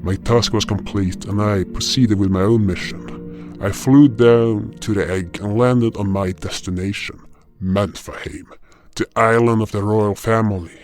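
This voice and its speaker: First-person fantasy narrator: male actor for dutiful spirit guardian, lowish pitch, measured, solemn delivery over guitar music. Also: fairly even intonation, a little mellow, close to an everyday voice.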